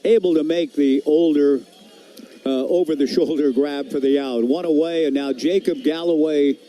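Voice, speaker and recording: An American man calling baseball play-by-play on the radio in a measured, articulate, descriptive way. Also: a little high, mellow, soft background music. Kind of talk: sports play-by-play commentary.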